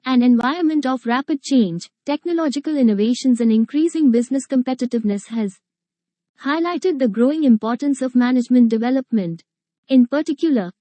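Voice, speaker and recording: A woman's voice: sits high, dark tone, dry recording. A woman reading a formal text aloud. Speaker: reader.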